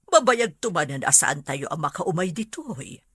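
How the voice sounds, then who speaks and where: A woman's voice: low-pitched, very animated, moderate pace, fairly bright tone, breathy edge, dry recording, heavily acted. A woman with an Ilocano accent playing a concerned, grumbling older family member in a radio drama.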